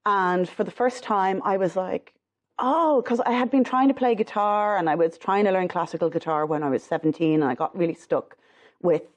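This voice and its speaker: A middle-aged Irish woman with a low alto voice, chatting as an interview guest in quick, self-deprecating bursts. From Ireland. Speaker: singer-songwriter guest. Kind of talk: casual interview chat.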